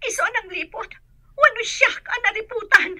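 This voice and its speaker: A woman's voice: very high voice, normal speed, sharp tone, dry recording, heavily acted. A woman with a Filipino accent playing a distraught, insistent mistress in an Ilocano radio drama.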